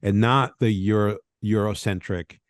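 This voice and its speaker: A man's voice: on the low side, fairly even intonation, a little slow, dry recording. A man from Venezuela, a game designer talking loosely in a podcast interview, often tagging thoughts with "right?". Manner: rambling, tag questions like "right?".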